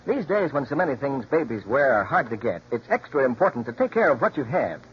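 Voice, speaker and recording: A man's voice: medium pitch, sing-song, a little fast, slight background noise. A man with an American accent, reading scripted announcements in 1940s radio style. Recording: dull old radio broadcast with music.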